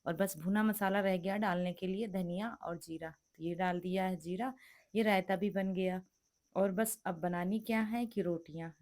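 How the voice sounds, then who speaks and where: A middle-aged woman with a hoarse, cracked voice from a sore throat, chatting through a home cooking vlog and explaining each step. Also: mid-range voice. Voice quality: cracked, rough voice. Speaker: vlogger cooking for guests. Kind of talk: casual cooking vlog narration.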